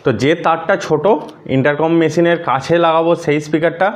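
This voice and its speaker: A man's voice: on the high side, steady tone, fast talker. A man narrating a casual step-by-step tutorial, explaining things and mixing in English words. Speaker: host of a motorcycle gear tutorial.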